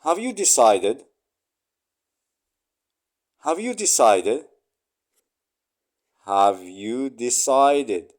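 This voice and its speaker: A man reading short English phrases aloud for learners, saying each one three times slowly and clearly. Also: mid-range voice, sing-song.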